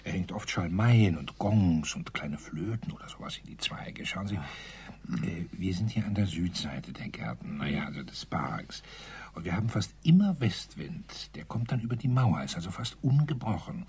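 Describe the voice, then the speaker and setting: An actor playing a rambling colonel in a German radio crime drama, hesitant then emphatic, with a husky, breathy voice. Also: male voice, low voice, expressive, moderate pace, a little mellow, exaggerated voice. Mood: rambling, musing.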